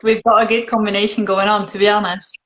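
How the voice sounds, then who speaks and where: A Dutch woman with a Scottish-tinged English accent, hosting a live video chat in a relaxed, dry, teasing way. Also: mid-range voice, quick pace, slight background noise. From Netherlands. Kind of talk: casual chat. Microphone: phone. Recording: echoey room, muffled phone audio.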